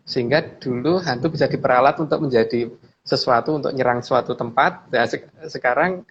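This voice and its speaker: A man's voice: mid-range voice, a little fast. Middle-aged man, dull noisy recording. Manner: repeats phrases while explaining, adds frequent tags seeking agreement. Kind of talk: casual discussion, explaining opinions. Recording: dull lo-fi audio, clear background noise.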